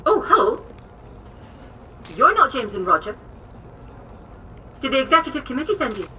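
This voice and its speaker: An actress playing a brisk, eccentric captive woman scientist in a video game, bossy and chipper with a British accent. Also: sits high, sing-song, recorded from a distance, background noise. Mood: bright, eager. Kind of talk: video game character acting.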